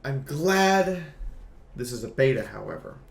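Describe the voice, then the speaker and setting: A man's voice: medium pitch, big pitch swings, a little slow, vocal fry, reverberant, a little hiss or hum. A man giving opinionated game review commentary, speaking bluntly and emphatically and repeating phrases for stress.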